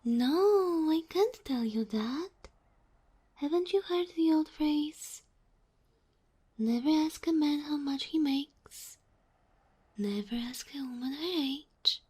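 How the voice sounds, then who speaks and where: A woman voicing a sweet, polite kitsune familiar in an ASMR roleplay, soft and whispery, with formal, bashful phrasing. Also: high-pitched, lively intonation, slow, long pauses. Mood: playfully coy. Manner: formal, courteous, slightly bashful. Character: sweet, polite kitsune fox-girl familiar, female, ageless.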